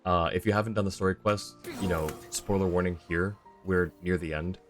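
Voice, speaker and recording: Male game streamer, low-pitched, chatty and casual, faint music underneath. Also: fairly even intonation, brisk. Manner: chatty, talking to viewers and himself. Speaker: live streamer. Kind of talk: casual gameplay stream commentary.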